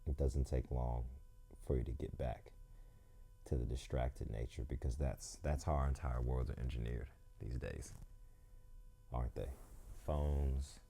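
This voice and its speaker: Male yoga teacher, croaky, very deep and mellow, reflective and unhurried. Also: steady tone. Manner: slow, reflective, thinks aloud. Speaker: yoga instructor. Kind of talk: calm guided yoga instruction with musing asides.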